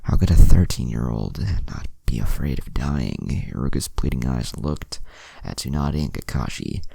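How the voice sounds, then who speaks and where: Extremely deep, gravelly male storyteller. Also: expressive, moderate pace, dark tone, no echo. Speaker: narrator. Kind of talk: dramatic reading of a fan fiction story. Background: music.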